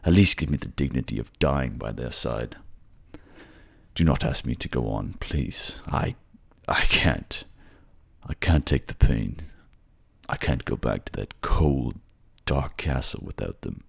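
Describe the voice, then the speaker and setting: A man's voice: extremely low pitch, lively intonation, unhurried, gravelly, husky, full character voice. A man voicing a grief-stricken, foul-mouthed male king with a bitter, raw way of talking. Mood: despairing.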